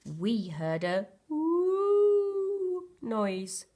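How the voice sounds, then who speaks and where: A woman voicing a small, curious, excitable toy teddy bear cub in a children's storybook. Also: high voice, extremely slow, voice pushed up, heavily acted. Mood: surprised, curious.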